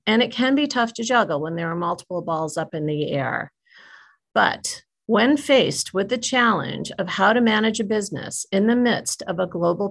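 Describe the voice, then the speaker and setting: A woman's voice: medium pitch, gravelly, breathy edge. A middle-aged woman giving a prepared keynote address at a commencement, speaking steadily over a video call. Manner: steady, read-aloud speech delivery.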